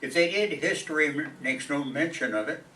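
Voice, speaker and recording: A man's voice: fairly high pitch, moderate pace, pushed and bright, far from the mic, slight background noise. A man playing a polite, earnest and slightly hesitant bachelor neighbor in an old-time radio sitcom.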